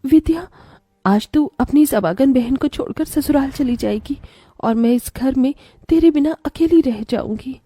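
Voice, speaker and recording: A woman as a meek, plaintive young sister, high-voiced. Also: moderate pace, warm tone, no echo, natural voice. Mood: wistful, sad. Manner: pleading, plaintive. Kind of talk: Hindi cartoon story voice acting.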